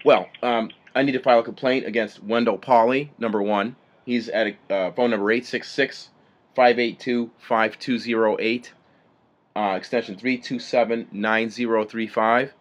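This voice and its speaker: A man's voice: medium pitch, a bit bright. A man making an assertive, argumentative complaint on a phone call, speaking informally and indignantly. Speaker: complaining caller, authorized third-party contact.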